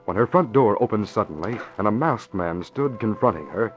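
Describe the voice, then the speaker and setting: A man with a very deep voice narrates a radio drama in a measured, dramatic way. He is heard through a muffled, dull old recording. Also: expressive, soft background music. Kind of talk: scripted narration.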